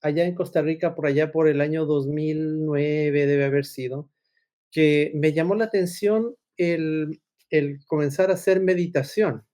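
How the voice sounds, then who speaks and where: A middle-aged man from Ecuador speaks in a fairly high voice. He talks like a guest in a casual conversation, telling things in a roundabout way and adding small tag questions. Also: steady tone, a little slow, dark tone. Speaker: podcast guest, agile consultant and coach.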